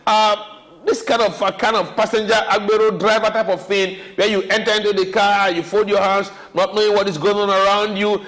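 High-voiced Nigerian male TV host. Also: flat delivery, a little fast, fairly bright tone, poor audio. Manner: rambling, emphatic with filler hesitations. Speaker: TV show host. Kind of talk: TV studio talk show.

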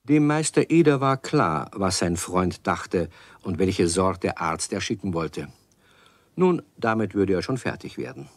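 Male narrator for children's audio drama: low voice, close dry sound, scripted storytelling. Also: expressive, measured pace, dry recording.

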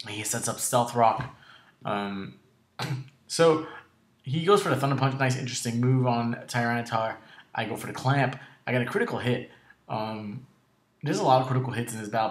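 A man hosts casual video game commentary with a raspy, sore voice that runs a little short of breath. His pitch is average, and the room adds clear echo. He is laid-back and halting as he narrates each move. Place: indoor room at home.

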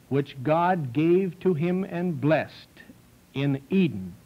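A man in his forties, a pastor speaking in an earnest, measured way to farmers from a desk in a small studio. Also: a little high. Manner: slow, earnest, measured delivery. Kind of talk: televised address to farmers. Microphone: desk stand mic. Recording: dull 1960s film soundtrack.

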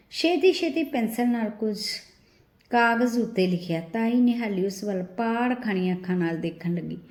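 Mellow woman storyteller, echoey. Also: mid-range voice. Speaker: storyteller reading a short story. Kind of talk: expressive literary audio story narration. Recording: roomy, echoing voice recording.

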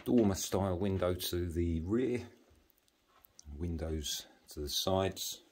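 A man giving a matter-of-fact, halting walk-through commentary as an estate agent, listing features as he moves through a house. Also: deep voice, darkish tone, a little hiss or hum. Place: walking through rooms of a house.

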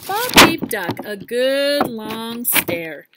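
A woman around forty reading a picture book aloud to children in an expressive, teacherly style. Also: a little high, extremely slow, heavy background noise. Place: outdoors on a deck among trees.

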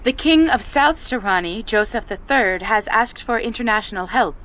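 An actress playing a persistent, earnest war correspondent giving a formal television field report in a game. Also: female voice, a little high, expressive, moderate pace, fairly bright tone. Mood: formal, probing. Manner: formal, clearly projected reporting. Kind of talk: TV news report in a game cutscene.

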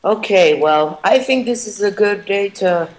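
A man's voice: high voice, a little slow. A man chatting over voice chat while gaming, trading sarcastic jabs and panicking when his character is in danger. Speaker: gamer and channel editor. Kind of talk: casual gameplay commentary.

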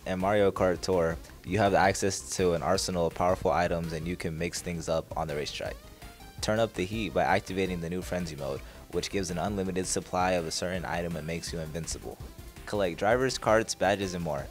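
A man presenting a video game segment in scripted narration. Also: low-pitched. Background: music.

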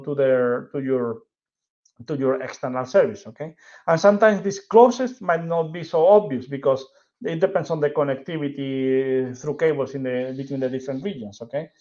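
A man in his forties from southern Spain with a Spanish accent, giving an informal technical presentation in a halting way with frequent checking tags. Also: a little high, warm tone. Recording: dull, lo-fi webcam audio.

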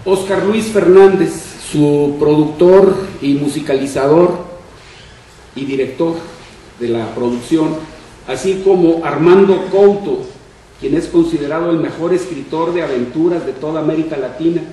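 An older man giving a public panel talk in a deliberate, formal, measured way into a table microphone. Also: fairly high pitch, mellow. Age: older, 60s or 70s. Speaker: panel speaker on science fiction. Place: indoor room at a panel table. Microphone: shared table microphone on stand. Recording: echoey room, distant mic, faint noise.

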